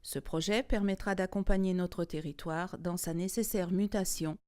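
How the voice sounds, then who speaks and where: A woman's voice: on the low side, steady tone, normal speed, a little mellow. A woman reading a formal, scripted presentation in the manner of an institutional documentary. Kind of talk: formal scripted narration, read aloud.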